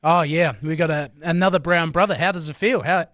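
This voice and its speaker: Higher-pitched New Zealand man, casual co-host. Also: steady tone, fairly quick, a bit bright, dry recording, clean studio sound. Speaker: podcast co-host. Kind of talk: casual banter. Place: studio.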